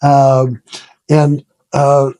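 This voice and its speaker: A man in his seventies telling his story as an interview guest, casual and reflective, with a halting, self-deprecating way of talking and frequent chuckles. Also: medium pitch, completely flat delivery, dark tone, no room sound. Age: 70s. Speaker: interview guest, retired business founder.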